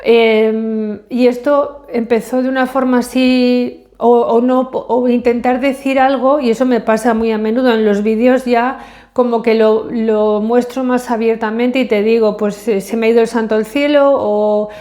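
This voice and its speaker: A woman's voice: on the high side, steady tone, a little slow, warm tone. A middle-aged woman, English her first language, talking to the camera in a casual personal monologue, hesitant and full of fillers and self-corrections. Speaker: vlogger.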